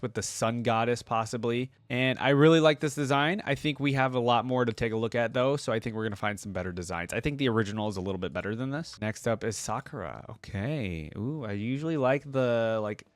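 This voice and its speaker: A man's voice: mid-range voice, no room sound. A man hosting a reaction video, giving casual, conversational commentary and thinking aloud as he gives his opinions. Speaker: host of a fan reaction video. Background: music.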